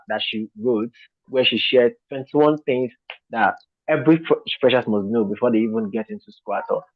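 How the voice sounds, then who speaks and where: A male moderator reads out a guest speaker's profile in a mid-pitched voice, with plenty of "uh" and apologies. His audio is dry and dull, like a lo-fi call. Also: no room sound. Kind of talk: introducing a speaker online.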